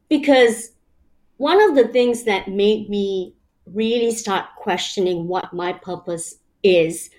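A woman's voice: mid-range voice, darkish tone. A woman from Malaysia, a guest in an interview, explaining her ideas step by step in a reflective, casual way with many fillers. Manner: reflective, explains in numbered steps with many "you know" fillers.

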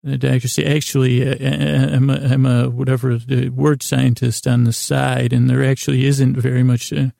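A man with a very creaky, gravelly voice and a dark, mellow tone, recorded close and dry. He rambles through an improvised sleep podcast monologue, halting, doubling back and musing on small absurdities. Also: medium pitch, little pitch movement, no echo. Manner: meandering, halting, often trails off and restarts; gently absurd, whimsical musing. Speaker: podcast host.

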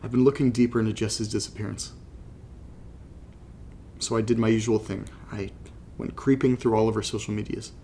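An actor playing a troubled young man recording a halting, confessional audio diary for a horror drama, his voice slightly congested. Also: lowish voice, fairly even intonation, mellow, slight background noise, lightly acted. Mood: troubled, searching.

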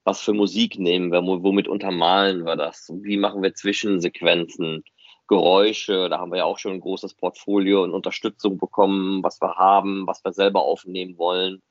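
A man's voice: low voice, level tone, brisk, no echo. A man talks casually as a podcast host. He explains things at length in a chatty way. The sound is very dry and a little dull. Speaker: podcast host and fan audio drama director.

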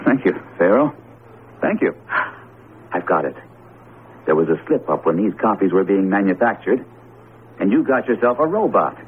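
An actor playing a skeptical, genial professor in a 1970s radio drama, talking things through in a wry, conversational way. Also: male voice, lowish voice, animated, moderate pace, pauses often, phone-quality sound, close to an everyday voice. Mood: wry amazement. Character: skeptical, genial male psychology professor, pipe-smoking friend. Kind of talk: 1970s radio drama acting and first-person narration. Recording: noisy, band-limited 1970s radio broadcast recording.

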